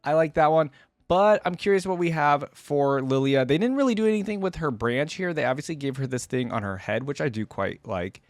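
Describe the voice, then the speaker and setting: A man's voice: fairly high pitch, lively intonation, a little fast, dry recording. A man giving casual, informal reaction commentary as a video host, musing aloud as he goes.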